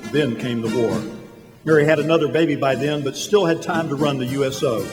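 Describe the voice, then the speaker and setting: A man's voice: medium pitch, a little fast, darkish tone, lightly acted. Man acting an authoritative heavenly storyteller over music. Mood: storytelling, solemn. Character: authoritative male heavenly senior angel recounting a life. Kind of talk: storytelling in a radio drama. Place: large church hall. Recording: echoey hall, music behind.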